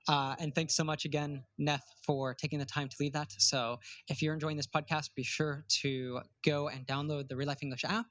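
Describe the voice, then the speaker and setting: A man's voice: a little high, little pitch movement, normal speed, few pauses, fairly bright tone, no room sound. Upbeat man hosting a podcast. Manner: upbeat, enthusiastic. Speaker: podcast host. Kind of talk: podcast hosting and promo read.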